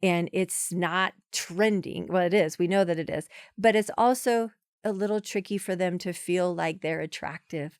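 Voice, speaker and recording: A woman's voice: on the low side, moderate pace, hardly any pauses, clean tone, dry recording, clean studio sound. A woman in her forties, a podcast guest, talking in a chatty, emphatic way with many restarts. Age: 40s. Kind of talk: casual conversational interview.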